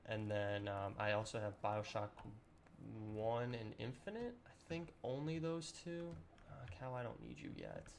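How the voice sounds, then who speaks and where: Young man, hoarse and low, rambling let's-play chat, noisy home mic. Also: measured pace. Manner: relaxed, rambling with many ums and throat clearing. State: slightly raspy, sore voice. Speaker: gaming channel host. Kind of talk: casual let's-play commentary.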